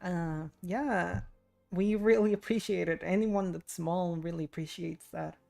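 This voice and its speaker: A woman streaming a game, with a fairly low voice and noticeable vocal fry. She chats informally and self-deprecatingly to her viewers, with faint music behind her. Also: steady tone, unhurried, dark tone. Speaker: livestreamer, VTuber. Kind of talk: casual chat with viewers while gaming. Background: game audio and music.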